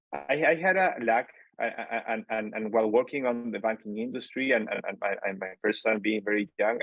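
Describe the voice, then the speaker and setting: Halting Chilean-born man, low voice. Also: no room sound, muffled recording. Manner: halting, many fillers and repeated words. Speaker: company founder, guest. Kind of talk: casual interview storytelling.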